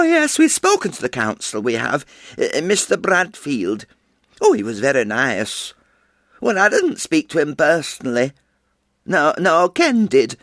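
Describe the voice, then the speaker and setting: An actor playing a chatty, fretful elderly widow who rambles and interrupts herself. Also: male voice, a little high, a bit bright, dry recording, clean studio sound. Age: older. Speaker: actor performing a character monologue. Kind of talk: stage character monologue.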